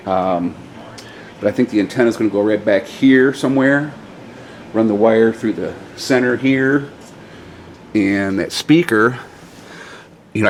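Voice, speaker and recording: A man in a casual, hesitant show-and-tell, thinking out loud like a DIY vlogger in an informal, rambling way. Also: fairly low pitch. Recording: clearly noisy, radio chatter at times.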